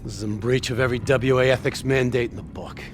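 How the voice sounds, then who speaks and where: Mid-pitched male squad leader, acted, terse, hard-bitten, commanding, music behind. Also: fairly even intonation, quick pace, close to an everyday voice. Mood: irritated. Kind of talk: video game cutscene acting.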